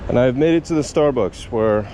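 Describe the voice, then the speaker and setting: A man's voice: medium pitch, moderate pace, dark tone, a little hiss or hum, poor audio. A man narrating a casual travel vlog, with faint outdoor city sound behind him. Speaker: travel vlogger.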